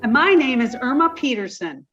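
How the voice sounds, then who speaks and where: Woman putting on a ditzy high-voiced girl, bouncy, radio comedy vibe. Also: sing-song, normal speed, a bit bright, highs cut off, faint music behind. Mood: dizzy, cheerful. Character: scatterbrained young woman, sweetly dim. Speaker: amateur radio play actor. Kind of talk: reading a 1950s radio comedy script.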